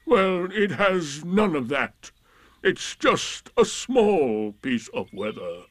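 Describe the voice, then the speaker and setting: A man voicing a gloomy old donkey, pessimistic and ponderous, drawing out each word very slowly in a storybook reading. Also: on the high side, very animated, no room sound, pitched above the performer's own voice, heavily acted. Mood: glum. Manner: very slow, drawn-out, gloomy.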